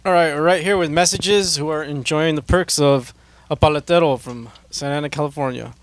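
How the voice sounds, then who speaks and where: A man hosting a casual band interview, asking relaxed questions with plenty of fillers. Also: on the high side, fairly quick. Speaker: podcast host.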